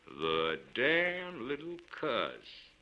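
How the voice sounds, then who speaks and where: A man voicing a weather-beaten, rough frontier man, gruff but secretly tender, in a storybook reading. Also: mid-range voice, huge pitch swings, highs cut off, exaggerated voice. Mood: gruff fondness. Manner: slow, drawn-out, marveling grumble.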